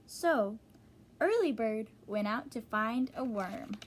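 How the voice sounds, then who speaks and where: Slow, high-pitched woman reading to children. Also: expressive, a little hiss or hum. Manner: explains and asks young listeners questions. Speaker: teacher reading aloud to class. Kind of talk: children's storybook read-aloud.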